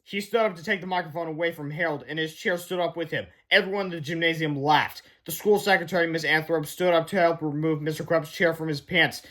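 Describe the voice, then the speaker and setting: Male children's book reader, notably high-pitched, expressive storyteller with emphatic delivery. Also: fairly quick, hardly any pauses. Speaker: read-aloud reader. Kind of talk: children's book read-aloud narration.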